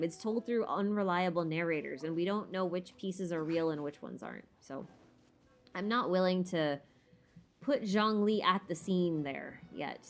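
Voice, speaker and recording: A woman hosting a livestream, answering viewer questions in a casual, opinionated way as she thinks aloud, with informal, emphatic phrasing. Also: on the low side. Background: background music.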